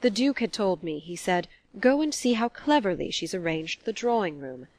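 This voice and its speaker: A woman reading a storybook as a shy, complacent elderly gentleman, formal, mild and hesitant. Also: mid-range voice, expressive, normal speed, dry recording, natural voice.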